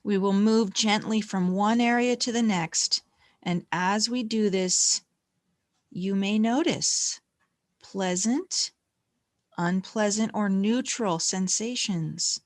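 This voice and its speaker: A woman leading a guided meditation in a gentle, calm, unhurried manner with long pauses between phrases. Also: mid-range voice, no echo, studio quality.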